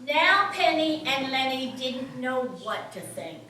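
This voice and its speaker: Slow, high-voiced woman storyteller. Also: very animated, pushed and bright, a little hiss or hum. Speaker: narrator. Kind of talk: scripted children's story narration. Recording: echoey, distant, music behind.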